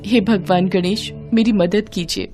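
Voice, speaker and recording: A woman voicing a poor, pious, humble wife in an animated devotional story. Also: mid-range voice, a little slow, faint music behind, lightly acted.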